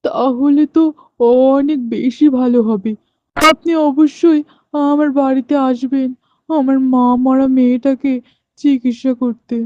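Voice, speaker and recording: A woman's voice: sits high, steady tone, measured pace, warm tone. A woman voicing a poor, worried widowed farmer father who pleads for help in a cartoon. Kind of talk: cartoon voice acting. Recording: dull lo-fi dry dubbing.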